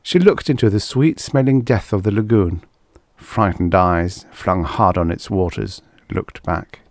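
A man reading literary science fiction as an audiobook narrator, with measured, expressive delivery and deliberate phrasing. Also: deep voice, no room sound, studio quality.

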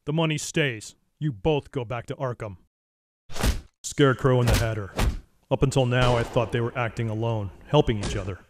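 A stern noir vigilante, acted by a man, with a mid-pitched voice. He speaks in terse, brooding deadpan, with clear noise behind him. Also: moderate pace, lightly acted. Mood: grim. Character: grim, stern adult male vigilante detective. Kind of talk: noir radio drama narration and dialogue.

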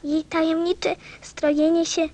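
A woman's voice: extremely high pitch, flat delivery, a little slow, darkish tone, soft background music. A woman playing a small, sensitive little prince in a radio play, with a childlike, earnest, questioning manner. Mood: wondering.

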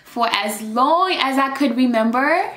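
A playful young woman chats through a casual vlog Q&A in an animated, teasing way. Her voice sits a little high. The sound is roomy, with a noticeable echo from a small room. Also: a little slow. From Haiti. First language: Haitian Creole. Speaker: vlogger. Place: small room in front of a plain backdrop. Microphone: camera at head-and-shoulders framing.

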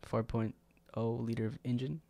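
A young man co-hosting a podcast in relaxed casual chat at a studio mic. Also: mid-range voice, a little slow, creaky, dry recording. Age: young adult. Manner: relaxed, easygoing, many fillers. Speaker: podcast co-host. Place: small studio room with purple lights, indoors. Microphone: studio mic on a stand with headphones.

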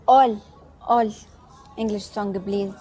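A woman's voice: mid-range voice, steady tone, slow, dark tone. A young woman from Syria with a Syrian Arabic accent, chatting in simple English on a phone video call from an outdoor terrace. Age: young adult. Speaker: guest on live stream. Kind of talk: casual live-stream chat. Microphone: wired earphone mic, phone video call.

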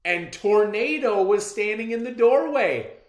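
A man voicing an earnest, excitable young farm child in a storybook reading. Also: sits high, unhurried, voice pushed up. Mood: overjoyed. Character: young farm child, tender, devoted to a dog. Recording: roomy echo.

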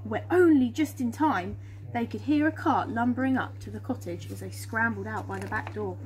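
An English woman in her thirties reads a children's story aloud. Her voice sits a little high. She is recorded outdoors, with a faint hum behind her. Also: moderate pace, poor audio. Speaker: storyteller reading to schoolchildren. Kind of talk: reading a children's picture book aloud. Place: small fenced garden, outdoors. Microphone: camera or phone a short distance away.

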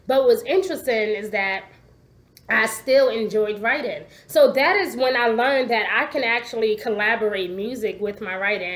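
A congested, hoarse woman in her forties, telling her story in a chatty way to a laptop webcam at home. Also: on the high side. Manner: chatty, animated, gestures a lot, asks "okay?" often. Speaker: vlogger. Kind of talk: casual personal storytelling to camera. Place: living room at home.

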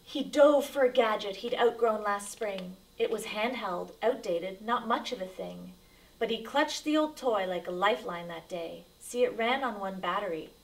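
A woman reads a rhyming picture book aloud for children. Her delivery is dramatic and emphatic, at a medium pitch. She sounds a little distant from the microphone. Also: normal speed, creaky. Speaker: read-aloud storyteller.

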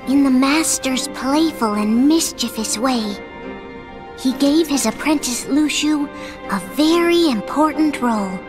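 An actress narrating a fantasy game legend in a measured storybook manner. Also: female voice, high-pitched. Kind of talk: scripted narration for a game cutscene.

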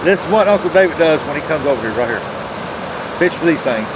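A man's voice: a little high, expressive. A middle-aged man, chatting casually in a folksy, plain-spoken way for an outdoor fishing vlog. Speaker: fishing vlogger. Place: outdoors on a rocky riverbank. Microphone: handheld phone at arm's length. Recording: noisy outdoor phone video, rushing water. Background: rushing river water and outdoor ambience.

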